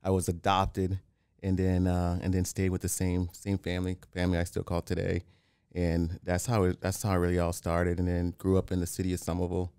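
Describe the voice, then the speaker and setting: A man from Haiti, a real estate developer talking as a podcast guest in a calm, plain-spoken way, often restarting. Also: sits low, fairly quick, clear, resonant tone, dry recording, clean studio sound. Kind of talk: casual interview.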